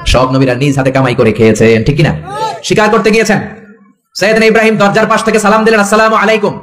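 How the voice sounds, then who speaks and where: A man's voice: high-pitched, flat delivery, quick pace. A Bangladeshi man preaching an Islamic storytelling sermon, emphatic, repeating phrases and putting questions to his listeners. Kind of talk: animated storytelling sermon to a live gathering.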